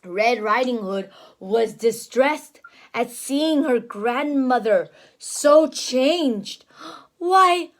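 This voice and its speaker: A woman teacher reading a storybook aloud to a young child, expressive and exaggerated, with simple asides. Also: a little high, very slow.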